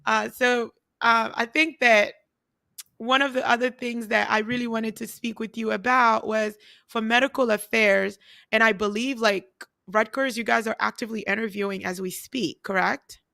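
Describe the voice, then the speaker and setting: A woman hosting a casual interview, expressive and talkative, whose first language is not English. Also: on the high side, moderate pace, gravelly, no room sound, clean studio sound. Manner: expressive, talkative, frequent laughter. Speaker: host.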